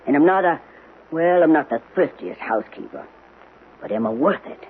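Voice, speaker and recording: An actress playing a bossy, plain-spoken housekeeper in a radio drama, with a folksy, sarcastic, no-nonsense manner. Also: female voice, deep voice, lively intonation, normal speed. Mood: sarcastic, defiant. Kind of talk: 1970s radio drama acting. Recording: tinny, noisy old radio broadcast.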